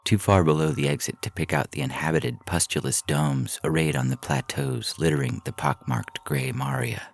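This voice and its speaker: A man narrating science fiction stories in a measured, deliberate way, with a gravelly voice. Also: rumbling low. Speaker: podcast story reader. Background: music.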